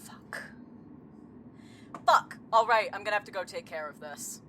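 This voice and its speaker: A woman playing a blunt, sardonic seer in an audio drama, speaking in a dry, deadpan manner. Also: mid-range voice, unhurried, a little hiss or hum, close to an everyday voice. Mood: alarmed. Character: blunt, sardonic woman who sees visions.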